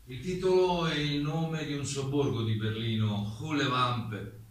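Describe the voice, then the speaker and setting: A man's voice: mid-range voice, lightly acted. A man playing an insistent, explanatory German playwright answering a committee in an echoey stage reenactment. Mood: cooperative, measured. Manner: emphatic, deliberate, repeats denials. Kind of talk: theatrical dialogue, hearing reenactment. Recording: very echoey room, distant mic, faint noise.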